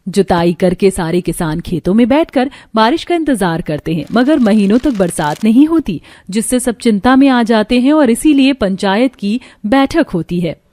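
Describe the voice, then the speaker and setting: A woman's voice: fairly high pitch, lively intonation, moderate pace, few pauses, dark tone. A woman reading scripted narration for a cartoon story.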